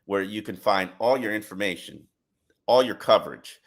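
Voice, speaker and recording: A man's voice: fairly low pitch, normal speed. A man hosting a casual sports podcast, breaking down the team in an analytical, emphatic way. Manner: analytical, emphatic, plugs the show. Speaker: podcast host.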